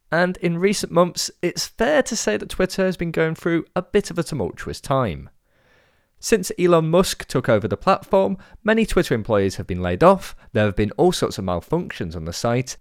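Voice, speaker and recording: A man hosting a science podcast, reading a scripted introduction in a measured way. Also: on the high side, no echo, studio quality. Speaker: podcast host.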